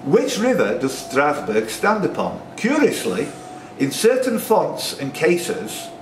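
A man's voice: fairly high pitch, huge pitch swings, slow pace, far from the mic. A man, acting as quizmaster, reading questions and bantering with the players. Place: pub, indoors among a group of players. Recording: roomy pub recording, off-mic, faint noise.

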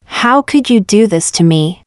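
A woman with a mid-range voice reads practice questions for a language lesson. The recording is dry and close-miked. Her reading is measured and clear. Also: medium pitch, lively intonation, no echo. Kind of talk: slow repeated example questions for learners.

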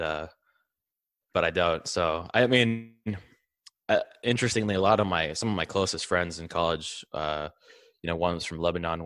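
A young man from Greece, raised in Egypt, recalling his childhood in a relaxed interview, with frequent fillers and restarts. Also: low voice, no room sound, studio quality. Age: young adult. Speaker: interview guest. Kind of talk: casual conversational interview.

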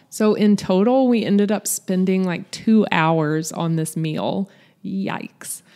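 A woman with a fairly low voice narrates a restaurant review. She talks evenly and conversationally, in a matter-of-fact way. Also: a little mellow. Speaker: vlog host, restaurant reviewer. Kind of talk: scripted voice-over review.

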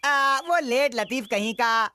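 A woman voicing a lively, teasing young cat child in a dubbed cartoon, with an exclamatory, childlike manner. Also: high voice, slow pace, heavily acted. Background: music.

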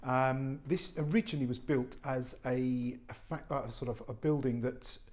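A man, an estate agent giving an unscripted walk-through tour of a warehouse flat, chatty and full of fillers and restarts. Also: medium pitch, normal speed. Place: large warehouse flat, indoors. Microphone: handheld phone camera, speaker off screen.

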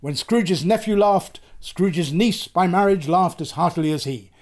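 An older man in his sixties or above giving an expressive, theatrical reading of a literary story. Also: high voice, unhurried. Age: 60s or older. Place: small book-lined room, indoors.

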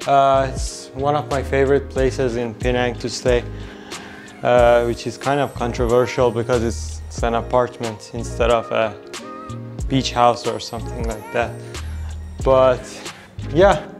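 A man in his twenties talking casually as a vlog host, with hesitant pauses. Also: mid-range voice, level tone. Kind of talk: casual road-trip vlogging. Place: mostly inside a car. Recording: music over the voice. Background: music.

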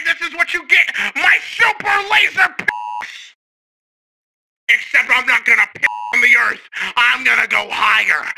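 A man voicing a furious, crude, pompous cartoon mad-scientist villain in a gravelly, bombastic rant. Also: extremely high pitch, full character voice. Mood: manic shouting. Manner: ranting, bombastic, theatrical shouting. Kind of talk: comedic parody dub rant. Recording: slightly noisy audio.

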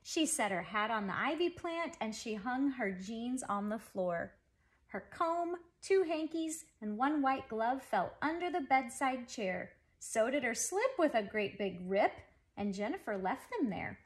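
High-pitched woman reading a children's story. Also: measured pace. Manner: animated, emphatic phrasing for young listeners. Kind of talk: expressive children's story read-aloud. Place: living room at home.

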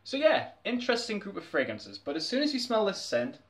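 A man's voice: sits high, huge pitch swings, brisk. A young man, chatting candidly and laughing often as he reviews a fragrance in a small bedroom. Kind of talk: casual review vlog. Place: small dim bedroom, indoors.

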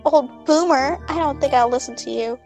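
A woman's voice: sits high, normal speed, darkish tone. A woman improvising a comedic fan dub, giggly and exclamatory in a goofy way. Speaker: amateur fandub performer. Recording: music behind the voice. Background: game cutscene music.

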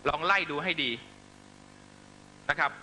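A man's voice: measured pace, pushed and bright, a little hiss or hum, poor audio. A man delivering a fiery political speech to supporters, accusatory and emphatic, constantly pressing listeners with rhetorical questions. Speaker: political rally speaker.

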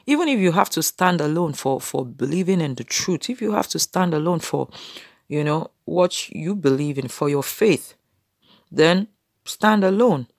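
A woman's voice: on the low side, vocal fry, no room sound, clean studio sound. A woman in her thirties preaching casually to camera from a small room, earnest and exhorting, with many "you know" fillers.

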